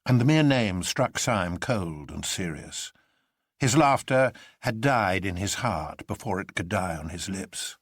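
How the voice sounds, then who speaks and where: A man's voice: low voice, animated, a little slow, husky, no echo, studio quality. A man reading a story as narrator, in a dramatic scripted style. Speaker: narrator, audiobook reader.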